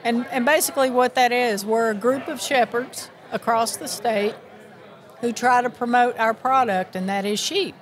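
A woman's voice: on the high side, normal speed, a faint crowd. Southern-accented older woman, chatty. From Miami, Florida. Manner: chatty, earnest, many restarts. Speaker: sheep producers association president. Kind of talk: live interview at an event. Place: busy convention hall.

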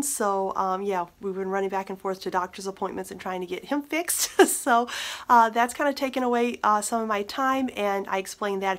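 A woman's voice: on the high side, fairly bright tone. Chatty woman with a nasal cold. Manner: chatty and upbeat, with many fillers and small laughs. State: congested with a cold, slightly nasal. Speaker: beauty vlogger. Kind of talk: casual chat to camera. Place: bedroom, indoors. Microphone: camera at desk distance.

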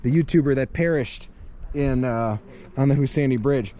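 A man's voice: mid-range voice, normal speed, dark tone. A man in his thirties, a travel vlogger joking as he walks and talks to a handheld camera on a rocky riverside path. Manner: upbeat, joking, walking and talking to a handheld camera. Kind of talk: casual travel vlog. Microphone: handheld camera at arm's length.

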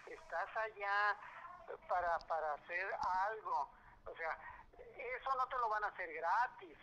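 A man's voice: high voice, a little slow, pushed and bright, slight background noise. A middle-aged man, talking casually as a father over a tinny telephone line. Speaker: streamer's father. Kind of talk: casual phone conversation.

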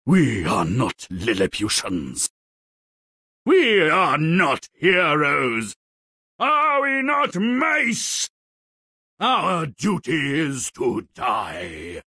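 A man voicing a gruff, theatrical fairy-tale character in a video game, with a raspy voice. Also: mid-range voice, big pitch swings, slow, sharp tone, dry recording, heavily acted. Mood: grim, pompous.

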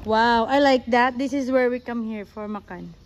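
A woman's voice: a little high, normal speed, a little hiss or hum. A woman chatting casually to camera as a family vlogger, filming on a phone. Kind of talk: casual family vlog chat. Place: at home, in a moving car and outside. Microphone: phone at arm's length.

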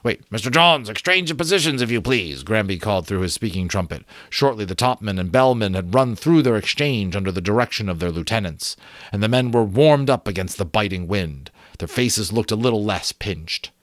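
A middle-aged man reading a novel aloud as expressive, deliberate dramatic narration, close to a desk microphone. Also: lowish voice, no room sound. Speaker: amateur audiobook reader. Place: small indoor room with bookshelves.

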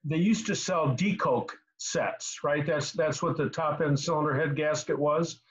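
A man in his sixties giving casual technical advice as a host on a video call, halting and repeating words as he explains. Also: on the high side, a bit bright. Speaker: host answering car repair questions. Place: small home room indoors. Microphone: computer mic on a video call. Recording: slightly dull video call audio.

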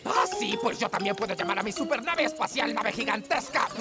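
Casting: mischievous wolf villain, kids' cartoon, Latin American Spanish, theatrical whine, high-pitched, very animated. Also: female voice, fairly quick. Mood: smug taunting. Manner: taunting, exclamatory, theatrical. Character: whiny mischievous wolf professor villain, male. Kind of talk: children's cartoon dub. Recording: loud children's cartoon music behind.

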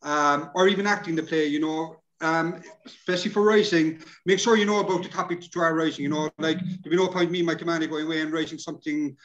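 A man's voice: fairly high pitch, fairly even intonation, fast talker, a bit bright. A middle-aged Irish man giving an informal, chatty workshop talk over a video call, often adding "you know". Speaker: workshop presenter. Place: indoors, plain room on video call. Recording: dull lo-fi video call audio.

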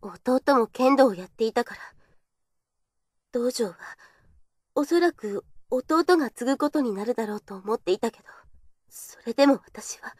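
A woman's voice: fairly high pitch, lively intonation, normal speed, pauses often, darkish tone, no echo, studio quality. A young woman playing a shy, earnest kendo girl in an audio drama monologue, with a hesitant, confessional delivery. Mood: wistful, subdued.

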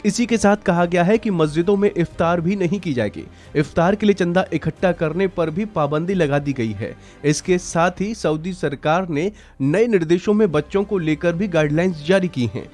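High-pitched man reading news over music. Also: normal speed, few pauses, darkish tone. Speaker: news narrator. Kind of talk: scripted news narration. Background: background music.

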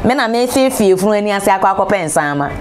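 Animated Ghanaian woman gossip presenter. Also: medium pitch, fast, music in the background. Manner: animated, exclamatory, rhetorical questions. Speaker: entertainment news presenter. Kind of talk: gossip commentary, mixing Twi and English. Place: studio, seated on a large throne-like chair. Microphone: lapel.

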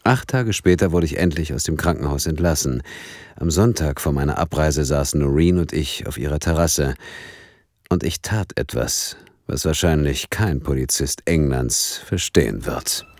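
An actor playing a dry, wry police detective in a radio play. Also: male voice, extremely low pitch, normal speed, a little mellow, no room sound. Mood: wry, calm. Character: wry English police detective narrating his case. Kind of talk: radio play acting and scripted narration.

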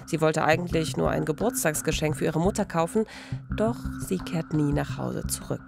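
A woman's voice: deep voice, moderate pace, creaky. A woman presenting a true-crime podcast conversationally, close on a studio microphone. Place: small radio studio. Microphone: close studio microphone, headphones.

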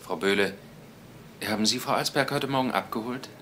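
An actor playing a businesslike police investigator in a radio crime play, factual in questioning and reporting. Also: male voice, mid-range voice, brisk, pushed and bright, slight background noise, lightly acted. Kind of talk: German radio crime drama acting.

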